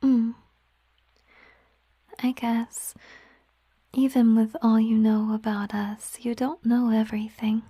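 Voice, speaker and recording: Woman as a slow, whispering, tender siren girlfriend. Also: fairly high pitch, little pitch movement, no room sound. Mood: gentle, explaining. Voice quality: soft, breathy whisper. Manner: intimate, unhurried, softly musing. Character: gentle, affectionate female siren, a mermaid girlfriend. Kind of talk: whispered ASMR roleplay. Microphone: close microphone, dry sound.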